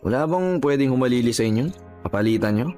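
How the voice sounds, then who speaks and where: A man's voice: medium pitch, lively intonation, quick pace, a little mellow, soft background music, lightly acted. An actor playing a friendly, sympathetic younger man, a chatty and respectful customer with a Filipino accent, in a radio drama. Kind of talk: Tagalog radio drama acting.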